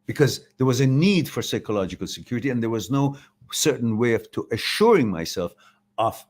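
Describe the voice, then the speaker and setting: A man in his sixties from Iran with an Iranian accent, giving an informal philosophical talk in a reflective, halting way as host. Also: medium pitch, sing-song, darkish tone. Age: 60s. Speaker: host of a live talk, answering viewer questions.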